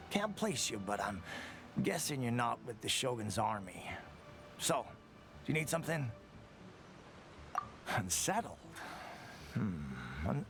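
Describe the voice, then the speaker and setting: An actor playing a weary, laid-back loner soldier in a video game, drowsy and detached. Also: male voice, medium pitch. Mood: drowsy, vague. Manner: drowsy, sighing, unhurried.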